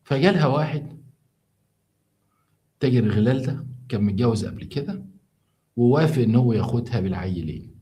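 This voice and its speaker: A tired man with an Egyptian Arabic accent tells a true story to viewers on a live stream, chatty and full of halting restarts as he explains things along the way. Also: medium pitch, lively intonation, warm tone.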